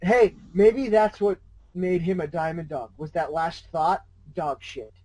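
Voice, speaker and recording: A man's voice: fairly high pitch, steady tone, measured pace, dark tone, poor audio. A man chatting casually and jokingly as co-host of a fan fiction reading show.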